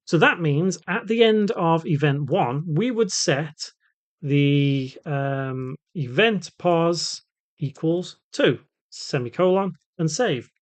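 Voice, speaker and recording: A tutorial host talking casually and conversationally, thinking aloud through an unscripted coding walkthrough. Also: medium pitch.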